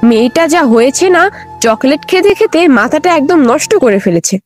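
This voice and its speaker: Lady as a cartoon mom, very lively high voice, doting but exasperated. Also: brisk, soft background music. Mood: scornful, exasperated. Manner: animated, chiding. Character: village mother, doting yet mocking and exasperated. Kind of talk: Bengali children's cartoon voice acting.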